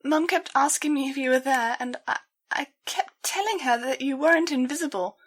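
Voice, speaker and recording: A woman's voice: sits high, normal speed, voice pushed up, natural voice. A woman voicing a guilt-ridden young female pony, stammering and hesitant as she forces out an apology in an audio storybook. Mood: distraught, tearful.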